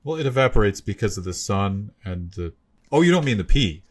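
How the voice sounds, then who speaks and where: A man's voice: on the low side, animated, brisk. A man bantering casually on a podcast panel with a wry, joking manner.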